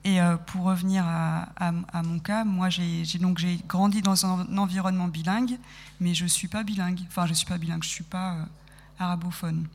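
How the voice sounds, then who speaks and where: A woman in her thirties, a radio guest, explaining her project in a thoughtful, halting way and correcting herself often. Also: fairly low pitch, level tone, darkish tone, big-room sound. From France. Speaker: guest, sound podcast maker. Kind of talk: radio interview conversation.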